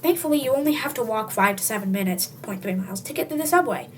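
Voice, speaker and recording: Casting: high-voiced reader for comic story narration, highly animated, tongue-in-cheek, slightly distant homemade sound. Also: quick pace. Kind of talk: comic read-aloud narration in second person. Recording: distant mic, faint background noise.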